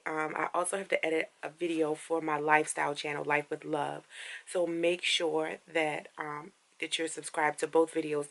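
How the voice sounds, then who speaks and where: Raspy, low-voiced woman vlogging from bed. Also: fairly bright tone. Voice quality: raspy deep morning voice. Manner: rambling, many fillers and restarts. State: tired after a night out. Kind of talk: casual vlog chat.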